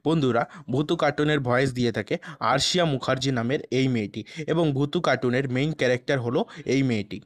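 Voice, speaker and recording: A man's voice: medium pitch, brisk, no echo. A man reading scripted narration for an online video.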